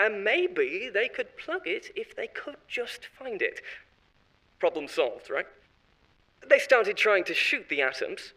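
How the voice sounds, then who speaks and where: A chuckling, self-justifying corporate insider for a horror audio drama. His voice sits high and bright, with pitch that leaps around as he spills dark secrets. He sounds glib and unbothered, brushing each admission aside. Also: full character voice, rough recording.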